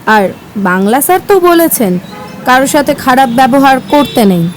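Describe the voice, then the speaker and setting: A woman playing a kind-hearted, earnest schoolgirl with an expressive manner in an amateur audio drama. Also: sits high, normal speed, faint music behind, poor audio. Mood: protesting, sympathetic. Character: kind-hearted, earnest schoolgirl who defends street children.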